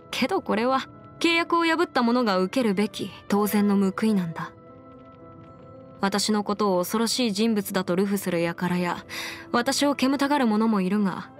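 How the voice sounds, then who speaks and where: A woman's voice: mid-range voice, natural voice. Woman voicing a self-assured young anime lawyer. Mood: earnest, firm. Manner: crisp, self-assured, formal mannish phrasing. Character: confident, brisk young female half-immortal lawyer. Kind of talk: scripted anime game character voice lines. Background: background music.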